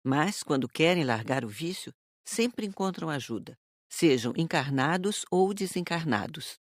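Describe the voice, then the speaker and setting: A woman's voice: low voice, measured pace, no echo, studio quality, pitched below the performer's own voice. Woman voicing a wise older woman mentor. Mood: instructive. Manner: calm, instructive.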